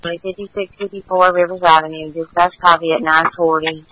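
A man working as a police dispatcher, speaking in brisk, formulaic radio procedure with a businesslike manner. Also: a little high, level tone, no room sound, lo-fi sound.

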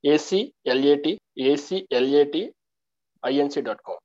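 A man's voice: fairly high pitch, moderate pace, a little mellow. A man calling into a conference call, giving short answers over dull, lo-fi audio. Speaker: caller.

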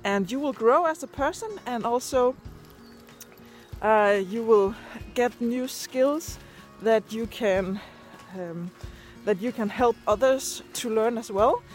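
Female vlogger, native Danish speaker with Danish accent, slightly high pitch, informal and searching for words, faint music present. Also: slow. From Denmark. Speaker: video and podcast creator. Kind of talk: casual vlog chat. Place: outdoors, on a walk. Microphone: handheld device at arm's length.

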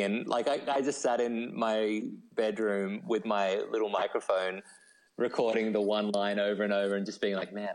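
A man's voice: on the low side, rough recording. An Australian man chatting casually as an interview guest in a laid-back, self-deprecating way. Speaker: guest, novelist.

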